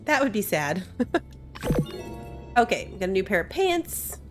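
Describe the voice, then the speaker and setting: Female gaming host, lively intonation, chatty think-aloud commentary, faint music behind. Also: medium pitch, a little slow. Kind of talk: casual gameplay commentary. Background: faint game music.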